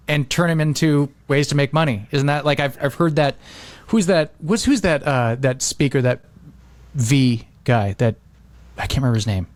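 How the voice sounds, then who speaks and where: A man chatting casually as a podcast co-host, telling stories in a rambling, halting way with many repeats. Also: fairly high pitch, no room sound. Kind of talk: casual chat, rambling anecdotes.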